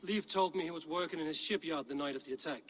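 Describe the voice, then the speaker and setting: An actor playing a stern Viking warrior in a video game, questioning people in a measured, grave way. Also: male voice, on the high side, fairly bright tone, close to an everyday voice. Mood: grave, reporting. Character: stern probing Viking warrior investigating a betrayal. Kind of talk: video game cutscene dialogue.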